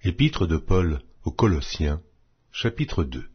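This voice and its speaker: A man reading scripture aloud in a solemn, measured, declamatory way, as for an audio Bible. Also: deep voice, big pitch swings, husky, muffled recording.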